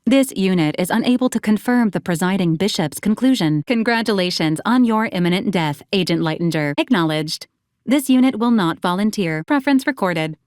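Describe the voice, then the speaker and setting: A woman voicing a formal, precise and deadpan female robot assistant in a video game, polite and cheerfully morbid. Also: mid-range voice, brisk, dry recording, studio quality, natural voice. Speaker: robot assistant to a military commander. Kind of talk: scripted video game voice lines, formal announcements.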